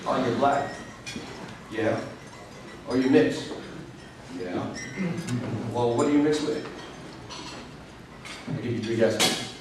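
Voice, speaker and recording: Man, middle-aged, rambling keynote speaker; distant, roomy hall sound with noise underneath. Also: medium pitch. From New York, United States. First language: English. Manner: rambling, with frequent "you know" fillers. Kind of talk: conversational keynote speech. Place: large convention hall. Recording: echoey hall from far off.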